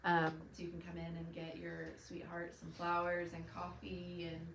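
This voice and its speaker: Oklahoma woman, city co-host, soft lowish voice, scratchy throat, upbeat; distant mic in a roomy space. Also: monotone, moderate pace, gravelly. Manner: softer voice, upbeat and enthusiastic. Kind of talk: casual live news chat. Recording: roomy sound, mic at a distance, faint background hum.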